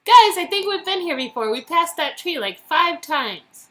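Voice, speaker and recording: Woman playing a bright, high, perky young woman. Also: very animated, moderate pace, exaggerated voice. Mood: upbeat. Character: eager, curious, teasing young woman in a romance game. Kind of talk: visual-novel dialogue reading.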